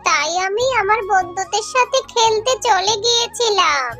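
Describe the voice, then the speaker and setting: A little fox girl for a children's cartoon. The voice is extremely high and bright, childlike and eager, speaking with earnest innocence. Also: expressive, normal speed, soft background music, full character voice. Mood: earnest, explaining.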